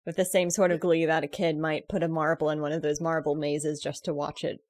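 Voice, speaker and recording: A woman playing a tabletop role-playing game, describing her character's actions in an unhurried, playful way. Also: sits low, flat delivery, a little mellow, no room sound. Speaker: player.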